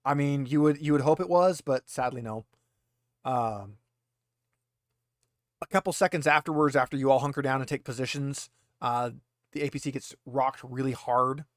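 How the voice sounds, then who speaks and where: A man narrating a tabletop roleplaying game as game master in a halting, conversational way. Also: medium pitch, dry recording, studio quality.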